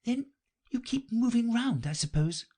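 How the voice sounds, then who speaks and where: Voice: mid-range voice, animated, unhurried, warm tone, pitched above the performer's own voice. A reader voicing a curious, polite young girl who asks earnest questions. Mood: inquisitive. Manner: earnest, inquisitive, politely puzzled.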